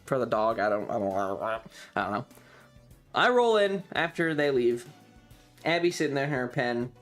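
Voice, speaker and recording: A man talks like a streamer chatting to viewers, telling a story in loose, halting pieces. His delivery is wry and informal, with some swearing. Music plays faintly behind his voice. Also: mid-range voice, big pitch swings, fairly bright tone. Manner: frequent throat clearing. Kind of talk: casual rambling storytelling on a stream.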